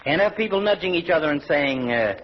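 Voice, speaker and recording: An actor playing a grumpy, pompous American town doctor with sardonic wit in a 1940s radio comedy. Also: male voice, a little high, expressive. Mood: dry. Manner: pompous, sardonic, deliberate phrasing. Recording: noisy, muffled old radio broadcast.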